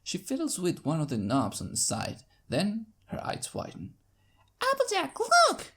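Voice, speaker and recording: A woman's voice: low voice, huge pitch swings, normal speed, darkish tone, husky. A woman narrating a story in the first person, reading expressively. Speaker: fan fiction narrator.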